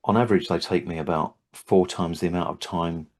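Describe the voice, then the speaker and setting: An older man, a trainer hosting a relaxed interview, talking thoughtfully with frequent restarts and hesitations. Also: sits low, brisk. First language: English. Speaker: Havening trainer and host. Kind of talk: casual interview chat.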